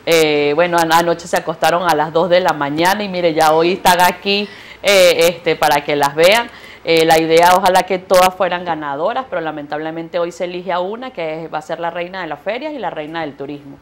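A woman in her thirties with a Venezuelan Spanish accent, a tourism official guesting on a live TV show in a studio, speaking into a handheld mic with halting fillers. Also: fairly low pitch. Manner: hesitant, many fillers and restarts. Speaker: president of a tourism corporation, guest. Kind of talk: live TV interview.

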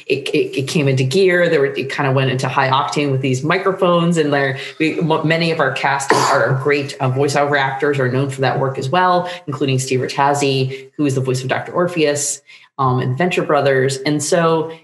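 A woman's voice: very deep voice, normal speed. Enthusiastic rambling woman in an echoey room. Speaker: interview guest, playwright. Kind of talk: casual interview chat. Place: book-lined home room, indoors. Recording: roomy home video call.

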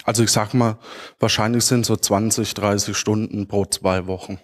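A man's voice: on the low side, steady tone, moderate pace. A man in his thirties answers questions as a speaker, phrasing things informally and searchingly into a handheld microphone. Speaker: conference speaker. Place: large auditorium stage.

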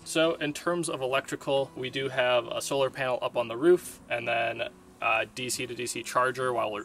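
A man's voice: mid-range voice, creaky, a bit bright, a little hiss or hum. A young man with a North American accent giving a casual tour to camera as the owner of a van. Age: young adult. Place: in and around a camper van in the woods.

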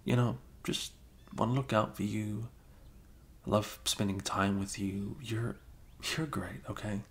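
A man playing a flustered, caring young male best friend with a crush, speaking haltingly in an improvised ASMR roleplay. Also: on the low side, steady tone. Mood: bashful, tender. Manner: halting, bashful, chuckling, casual bro talk.

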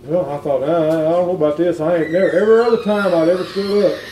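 A man's voice: fairly high pitch, fairly even intonation, fast talker, recorded from a distance, slight background noise. Give me a middle-aged man preaching at a revival, recorded from across an echoey church. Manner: folksy, plain-spoken, rises to shouting. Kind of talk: revival sermon. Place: wood-paneled church sanctuary. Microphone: far room camera. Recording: echoey church heard from afar.

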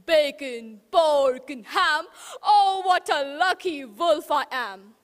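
A greedy, gloating big bad wolf, put on by a woman reciting a storybook poem, bold and booming. The voice sits high and bright, and long reverb trails off the walls of a hall. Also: lively intonation, takes it slowly, exaggerated voice.

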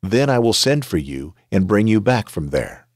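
A man's voice: on the low side, sing-song, moderate pace, a little mellow, no room sound, studio quality. A man reading as an urgent, worried mother. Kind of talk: scripture reading.

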